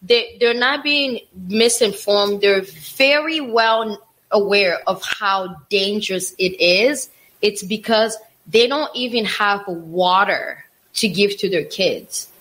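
A woman's voice: mid-range voice, slow, a bit bright, low-quality recording. Impassioned woman co-host, repeats for emphasis. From Africa. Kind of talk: casual opinion chat.

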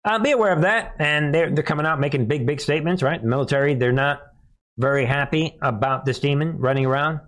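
A man's voice: fairly high pitch, fast, fairly bright tone. An American man hosting live political commentary, speaking emphatically and derisively and repeating phrases for effect. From United States. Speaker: news commentary show host.

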